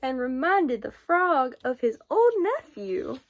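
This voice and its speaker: A woman reading a children's picture book aloud in a deliberate, expressive way, with sing-song emphasis. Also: high-pitched, noisy background. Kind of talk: children's storybook read-aloud.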